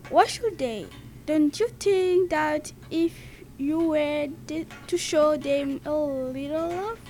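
A teenage girl playing an idealistic young lady in an amateur radio play, with a deliberate, stumbling delivery. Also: high voice, a little mellow. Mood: pleading, questioning. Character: idealistic young lady pleading for robots' welfare.

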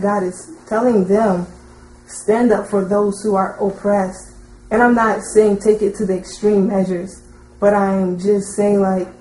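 A woman's voice: medium pitch, mellow. A woman in the audience speaking informally and earnestly, feeling her way through a point in a tentative, searching manner. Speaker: audience member commenting. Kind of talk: informal, unscripted discussion. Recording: roomy sound with faint noise.

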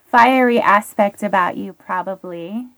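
A woman's voice: mid-range voice, measured pace, gravelly, fairly bright tone, poor audio. A woman hosting a podcast, bubbly and enthusiastic as she chats with her guest. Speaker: podcast host. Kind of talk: casual interview chat.

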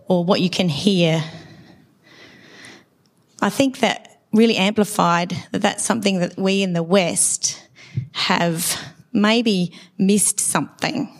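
A middle-aged woman with a dry, hoarse voice after laryngitis, giving a conversational sermon at a church service and asking the congregation questions. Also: mid-range voice. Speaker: preacher.

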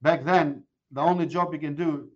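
A man in his forties, a guest mortgage broker, chatting casually in an interview and telling animated stories with many restarts. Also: on the high side, normal speed, lo-fi sound. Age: 40s. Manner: animated storyteller with frequent restarts.

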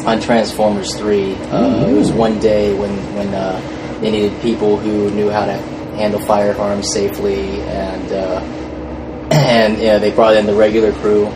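A male actor being interviewed, chatting casually in a halting, wry manner. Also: fairly low pitch. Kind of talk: casual joking interview chat. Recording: noisy room with air-conditioning hum.

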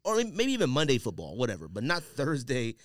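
A man's voice: mid-range voice, huge pitch swings, brisk, dry recording, studio quality. A man co-hosting a casual sports podcast, giving opinions in a blunt, slangy way.

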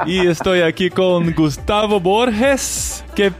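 A man's voice: high voice, takes it slowly, faint music behind. A Portuguese-speaking man hosting a podcast in learner's Spanish, tentative and laughing often. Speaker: podcast host.

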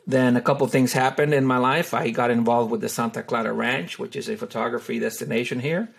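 A middle-aged man from Honduras talks through his answers with a gravelly, creaky texture. He hesitates often and fills pauses with "uh" and "you know", restarting his sentences as he goes. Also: medium pitch, steady tone. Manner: hesitant, many fillers and restarts. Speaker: wildlife photographer and tour guide. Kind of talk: relaxed interview answers.

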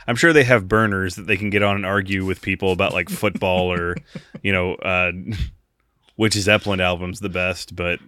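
A man's voice: low-pitched, steady tone, normal speed, bright, forceful tone, clean tone. A man co-hosting a podcast, chatting casually and jokingly, rambling with frequent laughs. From United States. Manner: rambling, jokey, laughs often. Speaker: podcast co-host.

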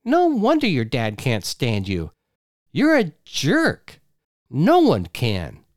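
A shrill young wife in a full-blown fury, as a male narrator puts her on, her voice leaping high and swinging wildly. She screeches and jabs every line, slow and deliberate, in a dry, close sound. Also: wildly swooping pitch, a little mellow, no echo, full character voice. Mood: shrill, furious. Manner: screeching, jabbing accusations.

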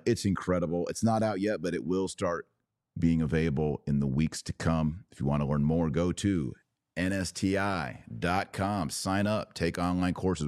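A man with a slightly raspy voice, worn from hours of talking, hosting a casual religious commentary podcast in short, emphatic phrases. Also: very deep voice, a little mellow, no echo, clean studio sound. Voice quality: a little raspy. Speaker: podcast host.

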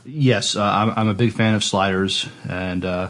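A man co-hosting a podcast, chatting casually in a hesitant, halting way. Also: fairly low pitch, steady tone, a bit bright. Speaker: podcast co-host.

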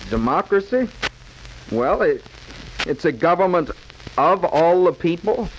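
An actor playing an earnest schoolboy bully who has learned better, in 1940s radio style. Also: male voice, a little high, sing-song, a little slow. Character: schoolboy bully turned earnest, defining democracy in class. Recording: dull, noisy 1943 radio recording.

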